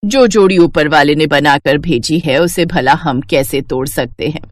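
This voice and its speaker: Proud wealthy matriarch, played by a woman, stern yet yielding, pitched down, cartoon moral story. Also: measured pace, no echo, studio quality. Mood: resigned. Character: proud rich mother of an only son.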